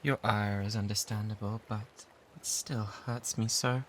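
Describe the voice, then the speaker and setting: A man with a British accent playing an obsessive, lovesick pirate in an intimate ASMR roleplay, breathy and often whispering, pleading theatrically and devotedly. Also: on the low side, steady tone, unhurried, a bit bright. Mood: tender longing. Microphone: close binaural microphone. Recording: close binaural recording with background ambience noise.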